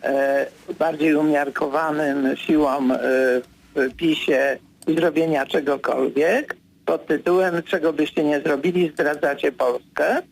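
An older man, a political commentator, answering as a guest in a radio studio interview, hesitant with many fillers, then firm and brisk. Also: a little high, fairly even intonation, vocal fry, rough recording.

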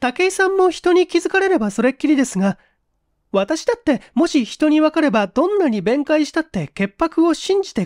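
An anxious, well-mannered young woman, acted by a woman narrator recounts her ordeal in a high voice. She is polite and flustered, in dramatic audiobook style. The recording is dry and intimate. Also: a little mellow, no echo.

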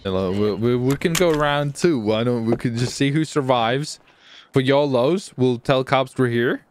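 A man's voice: mid-range voice, moderate pace, slight background noise. A man chattering live through a roleplay game on voice chat, exclaiming and swearing as he reacts aloud to the action. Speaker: gamer, channel host.

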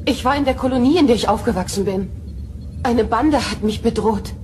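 A woman's voice: on the high side, fairly quick, a little hiss or hum, natural voice. An actress playing a young female starship officer in a radio play. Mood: shaken, agitated. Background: loud music.

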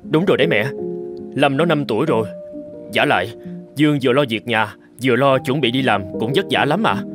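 A man's voice: mid-range voice, lively intonation, fairly quick, over music, lightly acted. A man voicing a supportive, agreeable young husband and father in a cartoon, speaking respectfully. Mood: persuading. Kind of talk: cartoon dubbing.

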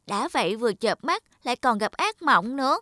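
A woman voicing a timid, excitable young forest animal in a children's cartoon, with an anxious, childlike manner. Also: on the high side, brisk, a little hiss or hum. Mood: frightened. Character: timid young rabbit and other little forest animals, jittery.

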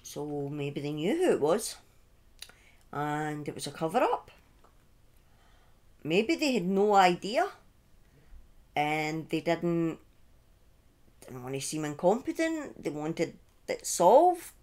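A middle-aged woman telling a true-crime story informally on a livestream, speaking deliberately with pauses and emphatic repetition, close to a desk microphone. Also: low-pitched, very animated, a little mellow. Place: small indoor room at home. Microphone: close desk microphone on a boom arm.

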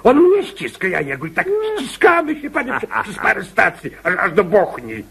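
A man's voice: high voice, huge pitch swings, moderate pace, gravelly, a bit bright, breathy edge, full character voice. A man acting in a radio comedy as a jealous, overprotective husband, stammering, fussy, pompous and self-righteous. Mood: excited, proud.

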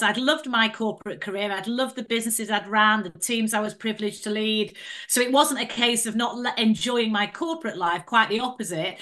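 Bright-voiced Mancunian woman. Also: a little high. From north of England. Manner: chatty, warm storytelling with frequent "um" and "you know". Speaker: guest, entrepreneur and podcaster. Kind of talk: casual interview chat.

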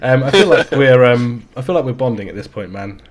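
A man's voice: mid-range voice, lively intonation. A man in his thirties from England with a London accent, joking easily with an opponent over game voice chat. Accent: London English. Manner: laid-back, joking, drawn-out words. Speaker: streamer. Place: small home streaming room. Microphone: headset.

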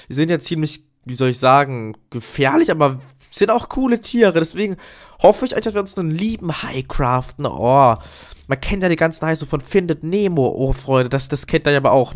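A man's voice: fairly high pitch, animated, brisk. A man with a cold, giving chatty, upbeat commentary while playing a video game and often addressing his viewers as friends. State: has a cold. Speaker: gaming YouTuber. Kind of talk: casual Let's Play commentary.

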